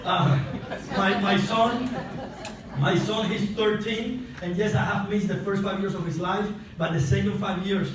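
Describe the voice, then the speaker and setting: A man from Cuba, a Spanish speaker, giving an excited, chatty motivational testimonial while out of breath. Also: high voice, a little slow. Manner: excited, chatty, addresses crowd often. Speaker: testimonial speaker at a sales convention. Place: large event hall on stage. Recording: echoey hall, distant mic, noisy.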